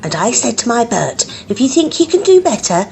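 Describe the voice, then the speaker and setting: A woman plays a nosy English village gossip in a radio comedy. Her creaky voice is conspiratorial and emphatic, rising and falling with great animation. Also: on the high side, very animated, a little fast, a little mellow. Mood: gossipy, indignant. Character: conspiratorial, know-all village gossip, female. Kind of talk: comic radio drama acting.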